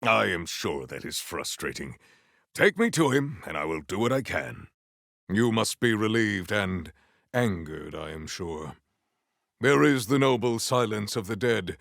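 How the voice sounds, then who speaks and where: A man voicing a big, formal, earnest warrior in a video game, with stately, measured phrasing and a solemn temper. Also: fairly bright tone, dry recording, clean studio sound, full character voice. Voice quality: deep, gravelly.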